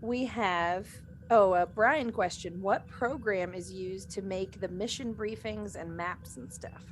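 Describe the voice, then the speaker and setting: A woman's voice: medium pitch, slow pace, slight background noise. A woman in a casual group chat, reading and answering fan questions in a playful way.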